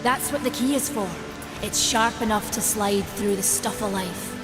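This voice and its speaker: Casting: actress as wise, steady fantasy game elder-sister type, Scottish, medium pitch, earnest delivery in a large hall. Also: female voice, music in the background, natural voice. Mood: instructive. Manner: measured, earnest. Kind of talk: dramatic video game cutscene acting. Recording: long hall reverb.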